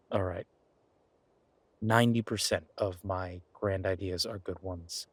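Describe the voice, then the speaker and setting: A man playing an affectionate, eager boyfriend in an audio roleplay, talking in a casual, halting, coaxing way with a husky voice. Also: on the low side, sharp tone, no room sound, exaggerated voice. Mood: teasing, affectionate. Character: affectionate, eager boyfriend pitching grand ideas to his werewolf girlfriend.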